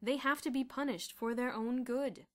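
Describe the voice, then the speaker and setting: A woman's voice: a little high, normal speed, pitched above the performer's own voice, natural voice. A woman voicing a stubborn older male headmaster who answers in a curt, firm, irritated way.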